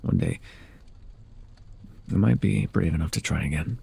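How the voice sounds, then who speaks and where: A man playing a forgotten, sorrowful former god in an ASMR audio roleplay, with a breathy, gravelly voice and a halting, brooding delivery. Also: low voice, animated, mellow, full character voice. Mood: mournful, brooding.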